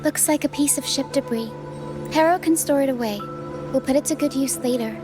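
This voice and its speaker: A woman's voice: high voice, brisk, close to an everyday voice. A woman voicing a hopeful, whimsical young girl in a video game, earnest and musing. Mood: playful. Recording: music behind the voice. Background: music.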